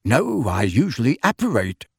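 A man voicing a kindly, eager middle-aged father in an audiobook, speaking gently and reassuringly in a measured way. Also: medium pitch, huge pitch swings, vocal fry, breathy edge, no echo, studio quality, exaggerated voice. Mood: matter-of-fact.

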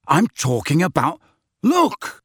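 An earnest teenage boy played by a man in an audiobook. He speaks haltingly but insistently, with lively pitch and a ready streak of impatience. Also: husky, dry recording, studio quality, heavily acted. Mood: impatient.

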